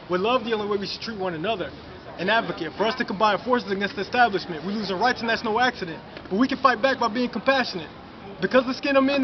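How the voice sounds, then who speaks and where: A young man in his twenties performing spoken word poetry outdoors, rhythmic and rhyming, building to a forceful delivery. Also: high voice, lo-fi sound. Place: outdoors in front of a city mural.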